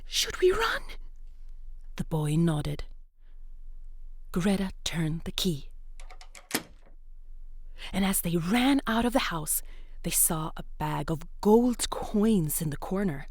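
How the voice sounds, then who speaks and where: A woman telling an interactive story for young children in a playful, expressive way, sometimes dropping into a hush. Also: on the low side, slow pace, long pauses, a little hiss or hum. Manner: playful, expressive, with hushed whispery moments.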